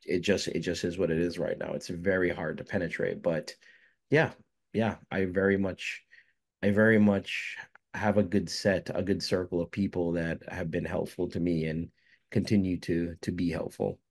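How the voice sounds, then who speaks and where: Deep-voiced guy from jamaica, loose and rambly, lots of "you know" and restarts. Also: flat delivery, moderate pace. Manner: rambling, many fillers and restarts. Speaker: filmmaker guest. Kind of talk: casual interview answers.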